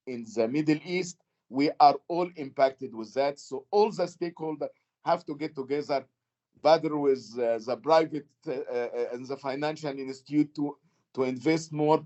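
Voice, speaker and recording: A man speaking as a panel guest, halting, with non-native phrasing. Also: fairly high pitch, darkish tone. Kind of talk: panel discussion. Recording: slightly dull sound.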